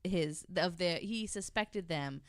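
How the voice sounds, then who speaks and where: A woman's voice: on the low side, slow pace, no echo, clean studio sound. A woman telling a story in casual, chatty conversation, informal and given to jokey asides. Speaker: podcast guest panelist telling a story.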